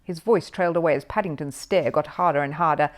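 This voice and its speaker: A woman's voice: sits low, fast. A woman narrating a children's story expressively from a written text.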